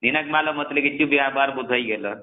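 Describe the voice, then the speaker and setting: A man's voice: mid-range voice, quick pace, a little hiss or hum. A man in a casual phone conversation, explaining things at length and repeating himself, on a narrowband call recording.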